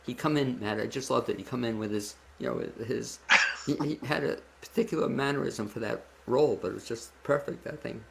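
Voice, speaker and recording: Gravelly male interviewer over background noise. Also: medium pitch, brisk. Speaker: interview host. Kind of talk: casual, chatty interviewing. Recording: clearly noisy background.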